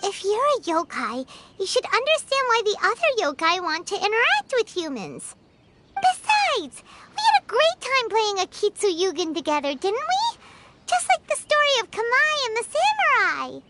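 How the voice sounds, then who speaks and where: A tiny curious creature pipes up in a very high, bouncing voice. She asks questions eagerly and animatedly. The game capture carries clear noise behind her. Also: normal speed, heavily acted. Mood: earnest. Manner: inquisitive, animated.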